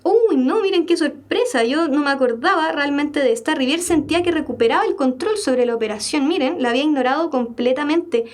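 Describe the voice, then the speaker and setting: A woman's voice: sits high, fairly quick, few pauses. A young Chilean woman teaching a live exam-prep class. She reads a text aloud, explains it, and keeps checking in with her students. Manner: lively, checks in with students by asking "¿ya?" and "¿verdad?" again and again.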